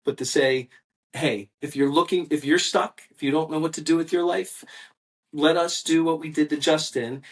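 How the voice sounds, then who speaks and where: A man's voice: a little high, fairly even intonation, moderate pace, recorded from a distance. A man co-hosting a podcast, enthusiastic and stumbling over his words with repeated restarts. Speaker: podcast co-host. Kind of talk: casual podcast chat.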